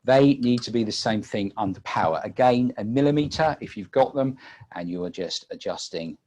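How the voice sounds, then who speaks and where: A British man giving an unscripted technical demonstration as a webinar presenter, halting often with repeated words and filled pauses. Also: fairly low pitch, expressive, darkish tone. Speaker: webinar presenter and audio engineer.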